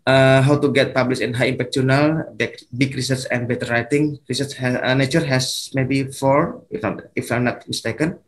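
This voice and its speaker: Brief: man, Indonesian accent, mid-pitched, guest lecturer on a video call, halting delivery with many restarts. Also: steady tone. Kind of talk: online academic lecture.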